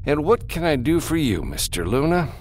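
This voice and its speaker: A man voicing a smooth, aloof criminal businessman in an audiobook, formal and condescending. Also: fairly high pitch, sing-song, moderate pace, darkish tone, a little hiss or hum, voice pushed up. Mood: cool, polite. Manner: formal, coolly polite, condescending.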